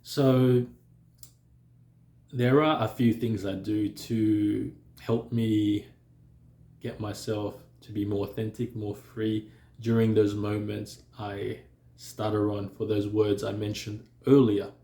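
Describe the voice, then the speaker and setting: Slow, calm man with a stutter. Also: on the low side, reverberant. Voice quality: stutters and blocks on some sounds. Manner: calm, measured, with pauses and fillers. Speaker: vlogger sharing stuttering experience. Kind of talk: reflective personal talk to camera.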